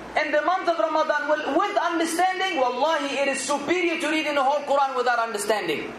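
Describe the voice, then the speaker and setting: High-voiced man preaching in an echoey room. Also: background noise. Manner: earnest, exhorting, rising to emphatic outbursts. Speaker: Islamic preacher. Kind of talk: sermon.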